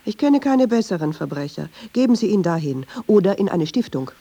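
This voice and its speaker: A woman's voice: fairly low pitch, big pitch swings, fairly quick, warm tone, breathy edge, heavily acted. A woman playing a probing, dryly sceptical interviewer in a radio drama, speaking tersely. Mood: dry, wry.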